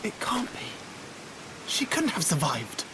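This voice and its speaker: A woman's voice: on the low side, huge pitch swings, measured pace, noisy background. An actress playing a fearful, outraged village woman in a game cutscene, dramatic and accusing. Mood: disbelieving. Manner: dramatic, aghast. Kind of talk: video game cutscene acting.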